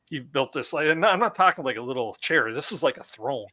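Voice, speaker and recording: A man's voice: medium pitch, lively intonation, a little fast, sharp tone. A man with a Canadian accent, talking casually as a guest about his outdoor trips, repeating and restarting words as he goes. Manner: chatty, stammers and repeats himself. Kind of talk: casual chat.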